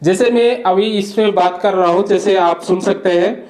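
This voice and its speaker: A man's voice: high voice, normal speed, a little mellow. A man giving a product demonstration and review, explaining plainly step by step.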